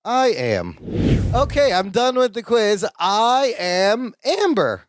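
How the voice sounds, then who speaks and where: A man co-hosting a podcast, excitable in casual chat. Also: high-pitched, takes it slowly, no room sound, clean studio sound. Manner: excitable, animated. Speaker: podcast co-host.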